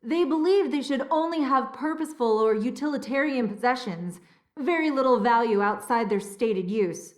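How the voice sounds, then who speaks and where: A high female voice in a reverberant room, an actress as a scholarly castle historian in an adventure game. She explains things precisely and earnestly, with a slightly flustered, nervous edge. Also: moderate pace, natural voice. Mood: informative. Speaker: historian and relic keeper. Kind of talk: video game dialogue, expository.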